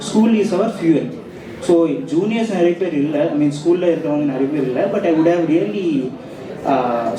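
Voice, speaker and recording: A man in his twenties with a South Indian accent gives an informal, rambling speech to an audience, mixing two languages. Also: fairly high pitch. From Andhra Pradesh, India. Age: 20s. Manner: rambling, switching between English and Tamil. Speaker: school alumnus speaker. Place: large school hall. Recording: echoey hall, distant mic, audience noise.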